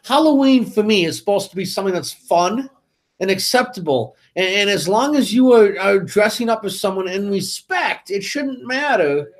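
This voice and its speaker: A man's voice: sits high, expressive, normal speed. A man co-hosting a casual political talk show, arguing emphatically with many restarts. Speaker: co-host.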